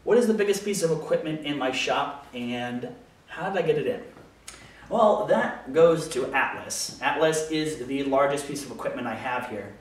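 A man's voice: a little high, expressive, moderate pace. An American man in his thirties talking casually to camera, explaining things as a woodworker answering questions in his small workshop. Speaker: woodworker hosting a shop Q&A video. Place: small home workshop, indoors. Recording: very echoey room, distant microphone.

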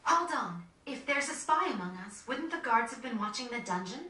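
An actress playing an earnest, soft-hearted young woman of a resistance group in a video game. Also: fairly high pitch, lively intonation, normal speed. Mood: concerned, questioning. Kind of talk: dramatic video game acting. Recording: roomy, distant, noisy screen capture.

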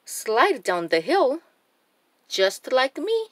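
A woman hosting a children's story time, reading a picture book aloud expressively with deliberate phrasing. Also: high voice, wildly swooping pitch, no echo. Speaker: children's storybook reader and channel host.

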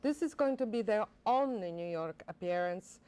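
Older lady on a tv interview, chatty and excited, lots of uhs and restarts, studio lapel mic. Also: mid-range voice, moderate pace, clean tone. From Poland. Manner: enthusiastic, animated, many fillers and restarts. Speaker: director of a college performing arts center.

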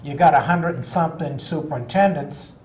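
A man's voice: a little high, a little fast, darkish tone. An older man from Boston hosting a casual chat, interjecting and repeating himself. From Boston area, United States. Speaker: talk show host. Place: small TV studio, round table. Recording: roomy studio, faint noise.

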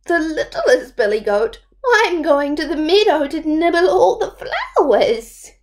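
Woman as a squeaky little billy goat. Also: expressive, measured pace, a little mellow. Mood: timid, pleading. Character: little young male billy goat, pleading, cheeky.

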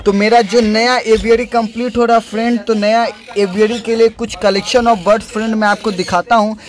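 A man's voice: high-pitched, flat delivery, normal speed. A young man narrating a casual vlog voice-over, chatty and calling the listener "friend" again and again. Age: young adult. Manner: chatty, repeats "friend" often. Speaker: bird breeder vlogger. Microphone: dubbed voice-over after filming, no mic on site.

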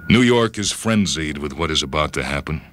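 Very deep-voiced man reading radio fiction. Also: expressive, a little fast, a bit bright, slight background noise. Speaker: reader and host of a radio short story program. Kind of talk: dramatic first-person story narration for radio. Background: music.

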